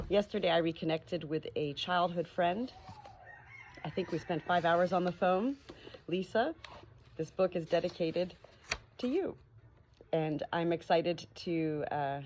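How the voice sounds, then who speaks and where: A woman reading to listeners as an audiobook storyteller, in an informal, expressive and emphatic manner suited to children's books. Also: fairly low pitch. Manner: animated, emphatic, drawing out key words. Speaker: audiobook reader. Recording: noisy farmyard ambience, roosters crowing. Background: farm animals, crowing roosters.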